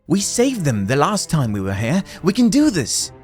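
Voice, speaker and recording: Man voicing an earnest, high-pitched young woman. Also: huge pitch swings, normal speed, soft background music. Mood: pleading.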